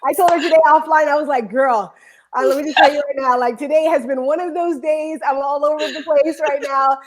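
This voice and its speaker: A woman hosting a live online talk show, bubbly and rambling, talking in quick bursts. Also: sits high, fairly even intonation, rough recording. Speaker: show host.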